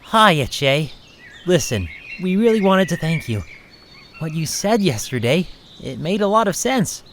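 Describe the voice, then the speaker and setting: A man's voice: high voice, sing-song, a little slow, fairly bright tone, a little hiss or hum, full character voice. An actor voicing an earnest young man who speaks eagerly and sincerely. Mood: grateful.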